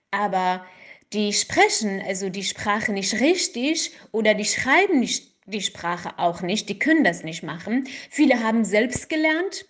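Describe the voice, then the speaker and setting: Slow, hesitant woman. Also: a little high. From Iran. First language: Kurdish. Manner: hesitant, searching for words, frequent fillers. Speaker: interviewee, activist. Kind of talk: interview answers.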